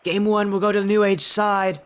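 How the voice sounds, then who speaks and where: A young man doing solo play-by-play commentary for an esports match, sounding a little stuffy and yelling in high bursts at big plays. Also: little pitch movement, brisk, clean tone. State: slightly stuffy from allergies. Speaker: esports caster.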